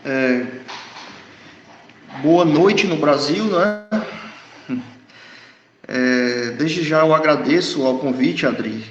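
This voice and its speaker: A man's voice: fairly high pitch, drawn-out words, long pauses, a little hiss or hum. Hesitant Brazilian man in an echoey room. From Ceará, northeast Brazil. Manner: hesitant, repeats words. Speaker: guest expert. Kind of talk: live online interview. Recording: echoey room, dull lo-fi sound.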